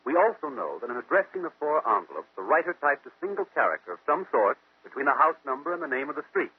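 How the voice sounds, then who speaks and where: Brilliant American sleuth, animated intonation, deliberate reasoning, vintage radio mystery, phone-like recording. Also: male voice, on the high side, slightly rough, dry recording. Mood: confident, explanatory. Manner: deliberate, didactic, question-and-answer reasoning. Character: brilliant male amateur detective, methodical and confident, explaining deductions. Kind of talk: 1940s radio mystery acting.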